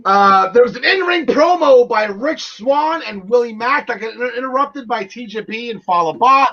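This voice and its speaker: Male wrestling podcast host: high, forceful voice, animated recaps, slightly dull recording. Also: normal speed. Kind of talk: wrestling news recap and panel chat.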